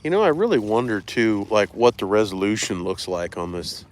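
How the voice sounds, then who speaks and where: A man's voice: on the low side, sing-song, moderate pace. A man chatting casually on a fishing live stream in a rambling, self-deprecating way, sounding slightly congested from allergies. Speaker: fishing livestreamer. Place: outdoors beside a reservoir.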